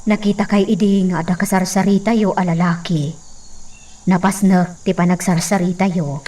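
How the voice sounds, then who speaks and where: A woman acts as an earnest household servant in a radio drama. Her voice is on the low side and she speaks with deference, carefully laying out what she knows. The recording sits away from the mic, with insects behind. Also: fairly even intonation, moderate pace, dark tone, far from the mic, close to an everyday voice. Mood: earnest, confiding. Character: respectful woman servant of a mansion, earnest confidante. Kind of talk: Ilocano radio drama acting.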